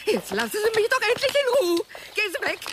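A woman's voice: very high-pitched, very animated, brisk, heavy background noise. An actress playing an irritated, dismissive old lady in a radio drama, fending someone off in agitation. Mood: agitated. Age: older.

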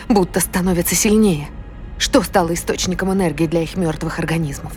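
An actress playing an anxious, bewildered woman scientist in an audio drama. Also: deep voice. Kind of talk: dramatised audio play acting.

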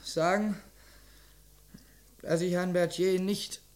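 A man's voice: high-pitched, little pitch movement, takes it slowly, a little mellow. An actor playing a defiant, anxious young man under arrest in a radio crime drama, indignant and defensive. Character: defiant, anxious youth under arrest, not yet of age. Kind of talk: German radio play acting.

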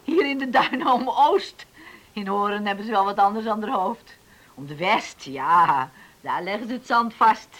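An actress playing a plain-spoken, worried island housewife with a folksy, emphatic way of talking in a radio play. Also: female voice, mid-range voice, animated, normal speed, a bit bright. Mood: worried, grumbling. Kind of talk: radio drama acting.

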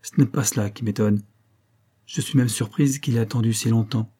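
A man's voice: medium pitch, fairly even intonation, normal speed, mellow, husky, close to an everyday voice. A man voicing a proud young actress wife in a storybook reading, impatient, mocking and bitter. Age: young adult. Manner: railing, vehement, impatient.

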